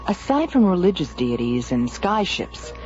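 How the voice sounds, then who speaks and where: A woman's voice: fairly low pitch, very animated, unhurried, a little mellow. A woman playing a level-headed, skeptical scientist in a radio drama, with a no-nonsense, questioning manner. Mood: probing. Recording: dull radio recording, music behind.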